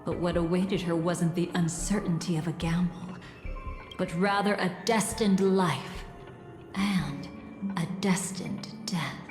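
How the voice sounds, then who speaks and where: An actress voicing a mysterious woman storyteller in a game, recounting fate in measured, theatrical phrases. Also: on the low side, fairly even intonation. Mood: enigmatic, solemn. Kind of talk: dramatic scripted game narration. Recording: cavernous reverb with music underneath.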